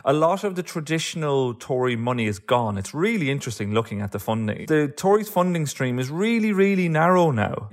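An Irish man, an author being interviewed, talking through political analysis in a discursive way with many filler phrases. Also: on the high side. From Ireland. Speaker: author and guest.

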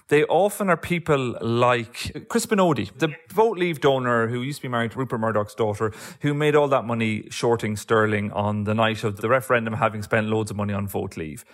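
Fast-talking Irish man. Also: mid-range voice, a bit bright. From Ireland. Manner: discursive, many "you know" fillers. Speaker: author and guest. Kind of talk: political analysis in conversation.

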